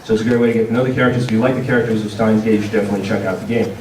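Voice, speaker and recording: A man's voice: on the low side, fairly even intonation, very fast, darkish tone. Man, convention presenter, hesitant informal delivery, echoey room, slight background noise. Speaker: panel presenter for a game publisher. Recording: distant mic in echoey, noisy room.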